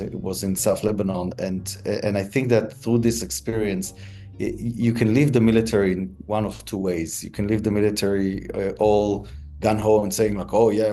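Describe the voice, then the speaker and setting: A man in his forties from Israel speaks in a dark, mellow, lowish voice. He answers in a relaxed storytelling way, restarting often and filling pauses with ums. Faint music plays underneath. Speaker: interview guest, negotiator. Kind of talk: conversational interview answers.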